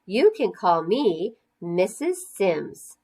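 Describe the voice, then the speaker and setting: A woman reading a storybook as an upbeat, theatrical schoolteacher who addresses her class in a slow, drawn-out, emphatic way. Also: medium pitch, sing-song, a little mellow, exaggerated voice. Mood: explaining.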